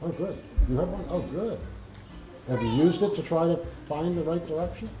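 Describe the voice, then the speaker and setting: A man reading a story aloud to children in a halting, informal manner. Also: a little high, animated. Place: indoor library room with audience. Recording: echoey room, faint music.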